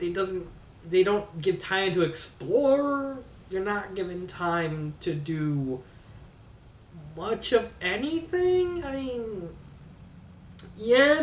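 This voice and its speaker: A man with a high-pitched voice delivers a casual review. He rambles and leans on his points with exasperated emphasis. The recording is echoey, and he sounds some distance from the microphone. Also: fairly bright tone. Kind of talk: casual spoken fan fiction review. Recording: roomy echo, distant from mic.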